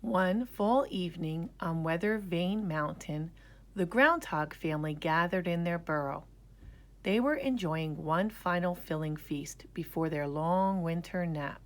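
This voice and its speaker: A woman reading a children's storybook aloud in an expressive narrating style. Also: fairly low pitch, unhurried. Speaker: storybook reader.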